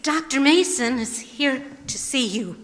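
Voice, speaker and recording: High, measured female switchboard operator, played by an actress, formal and dutiful, radio play. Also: animated. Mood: polite, startled. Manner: formal, announcing. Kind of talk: radio drama acting. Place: live stage performance in a hall. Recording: echoey live hall.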